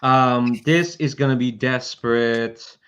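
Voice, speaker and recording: A man's medium-pitched voice in slightly dull, lo-fi audio. He talks casually as a game master, narrating scenes and explaining rules to his players. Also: steady tone, takes it slowly, a bit bright, clean tone.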